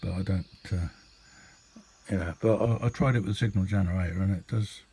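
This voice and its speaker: A man's voice: sits low, fairly quick, warm tone. A middle-aged or older British man giving a casual, unscripted hobby demonstration in a rambling, hesitant, easygoing way. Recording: clearly noisy amateur home recording.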